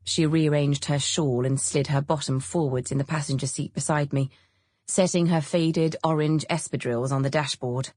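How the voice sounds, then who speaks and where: A woman with an English accent reading a novel as an expressive audiobook narrator in a studio. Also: low voice, normal speed, dry recording. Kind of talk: expressive scripted literary narration. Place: dry studio.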